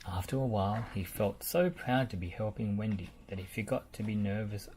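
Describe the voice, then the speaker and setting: A storyteller reading a children's picture book aloud in a measured, deliberate way, with background noise. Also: mid-range voice, mellow, rough recording.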